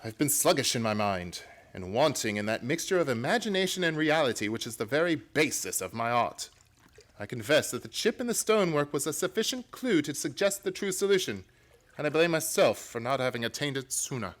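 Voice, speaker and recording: An actor playing a brisk, self-assured gentleman detective in an old-time radio play, theatrical and precise in his formal explanations. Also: medium pitch, very animated. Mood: self-reproachful.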